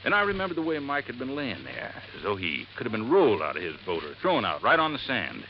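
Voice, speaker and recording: An actor playing a folksy, earnest boat-landing owner in 1950s radio drama, telling things in a plain, halting, emphatic way. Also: male voice, medium pitch, huge pitch swings. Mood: intent, emphatic. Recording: dull, noisy vintage radio recording.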